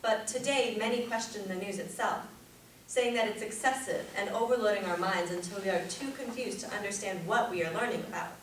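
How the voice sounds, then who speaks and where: A young adult woman delivers a rehearsed persuasive speech in a formal, deliberate, projected manner, as a competitive public speaker. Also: mid-range voice. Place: echoey indoor room at a speech tournament. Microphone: distant camera or room microphone. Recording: very echoey room, distant mic, faint noise.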